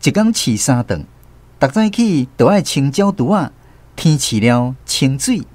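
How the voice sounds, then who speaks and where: A man's voice: medium pitch, sing-song, measured pace, darkish tone, no room sound. An actor with a Taiwanese accent narrating a radio drama as a man fondly recalling his rural boyhood. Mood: reflective storytelling. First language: Taiwanese Hokkien. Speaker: narrator telling his childhood story.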